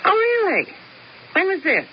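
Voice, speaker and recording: A woman's voice: very high voice, wildly swooping pitch, unhurried, heavily acted. An actress playing a cool, sardonic older woman who talks in a dry, ironic drawl, in a 1970s radio drama. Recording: dull old radio broadcast with hiss.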